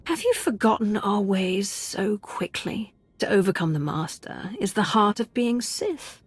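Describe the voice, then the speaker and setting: An actress playing a determined, defiant Sith challenger in a video game, firm and confrontational. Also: female voice, medium pitch, expressive, a little slow, husky, no echo. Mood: cold challenge. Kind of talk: dramatic video game acting.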